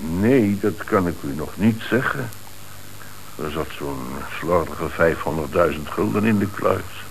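Hissy radio-play recording, low-voiced bank manager. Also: male voice, animated, measured pace, gravelly, heavily acted, rough recording. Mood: formal, hesitant. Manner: hesitant, formal. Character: troubled, lenient male bank manager under police questioning.

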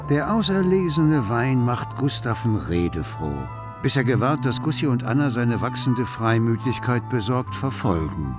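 A man's voice: mid-range voice, animated, moderate pace, few pauses, music in the background, natural voice. A man narrating a literary radio play in an observant, composed way. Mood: composed, wry.

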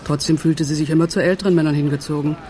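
Wistful, confiding middle-aged woman, higher-pitched for a man's register. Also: steady tone, racing, dark tone, natural voice. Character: wistful, loyal woman missing her old friend. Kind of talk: German radio crime drama acting.

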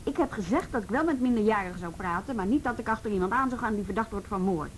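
Actress for principled juvenile-police woman, medium pitch, formal and uneasy, radio drama with light background rumble. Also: animated, fast, close to an everyday voice. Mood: defiant. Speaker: youth police worker. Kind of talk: Dutch radio drama acting. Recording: rumbling, noisy old radio drama recording.

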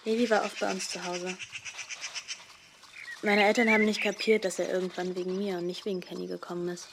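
A woman's voice: on the low side, flat delivery, brisk, creaky. An actress playing a frank, lovestruck teenage girl in a radio drama, speaking as if interviewed. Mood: wistful. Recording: loud background noise with birdsong.

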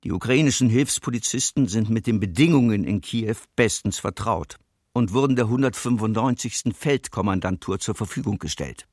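An actor playing an impersonal military official reading a report in a documentary radio drama. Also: male voice, fairly low pitch, normal speed, darkish tone, no room sound, studio quality, natural voice. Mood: impersonal, clipped.

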